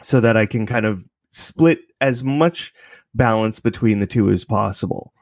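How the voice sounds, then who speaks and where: Low-voiced man as interview guest. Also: unhurried, a little mellow, no echo, clean studio sound. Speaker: podcast creator, interview guest. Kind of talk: casual explanatory interview talk.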